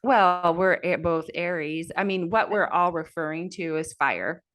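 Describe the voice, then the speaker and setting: Emphatic woman with a lowish voice, chatting. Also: a little slow, fairly bright tone. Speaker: podcast co-host. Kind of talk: casual chat.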